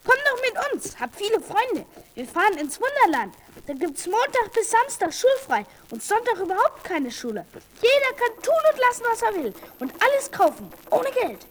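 Brash, teasing boy, radio play: very high and lively. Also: normal speed, a little hiss or hum, low-quality recording. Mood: cheeky, persuasive. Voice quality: boyish, high. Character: cheeky, bossy young boy tempting his friend. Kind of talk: children's radio play acting.

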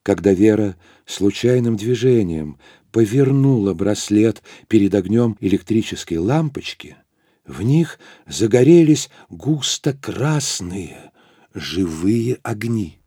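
Mellow, husky male storyteller. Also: fairly low pitch, animated, no echo, studio quality. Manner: measured storytelling. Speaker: narrator. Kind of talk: scripted literary narration.

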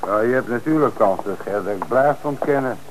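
An actor playing an eager police detective who talks in a conversational, enthusiastic way in a radio drama. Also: male voice, mid-range voice, expressive, moderate pace, noisy background, lightly acted.

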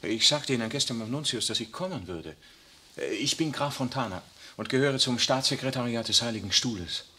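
A man acting in a 1960s radio play as an earnest young Jesuit priest sent from the Vatican, speaking calmly and reassuringly. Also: mid-range voice, fairly quick, husky. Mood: polite. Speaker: radio drama actor.

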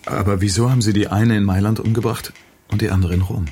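A man's voice: lowish voice, steady tone, quick pace, mellow, slight background noise, natural voice. An actor playing a timid, guilt-ridden young man in a radio crime drama. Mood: guilty, anxious.

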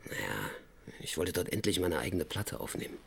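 A man's voice: deep voice, big pitch swings, moderate pace, gravelly, husky, heavily acted. A man playing a laid-back guitarist boyfriend in a radio play, casual, hesitant and soothing. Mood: coaxing. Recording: loud music and train sound behind.

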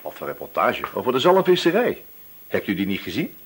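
A man's voice: lowish voice, wildly swooping pitch, fairly quick, breathy edge, heavily acted. A man playing a wily, relentless police inspector in a radio drama, feigning casual chat and probing until he accuses. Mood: deceptively casual. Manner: probing, hesitant feints turning accusatory.

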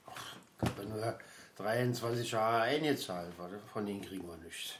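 Man playing older berlin guy, mumbly and grumpy, halting, roomy echo with faint noise, radio play. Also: on the low side, natural voice. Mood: grumbling, resigned. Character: grumbling, ailing older father, stuck in his armchair. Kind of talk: naturalistic German radio drama. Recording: echoey room, faint noise.

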